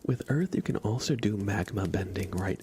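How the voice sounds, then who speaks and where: A man chatting casually and softly as an ASMR livestream host, often slipping into a whisper. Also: lowish voice, expressive, moderate pace, slight background noise. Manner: soft-spoken, often dropping into a whisper. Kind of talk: ASMR, casual rambling chat with live viewers.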